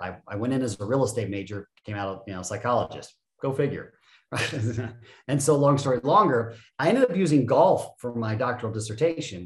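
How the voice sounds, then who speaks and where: Man, 50s, podcast guest; animated, self-deprecating storyteller; lowish voice, echoey room. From United States. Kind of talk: casual interview talk.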